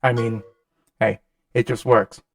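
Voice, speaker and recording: A man with a cold and a nasal, slightly gravelly voice, giving chatty, self-deprecating voice-over commentary over gameplay. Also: mid-range voice, flat delivery, takes it slowly, distant mic. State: has a cold. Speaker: gaming channel host.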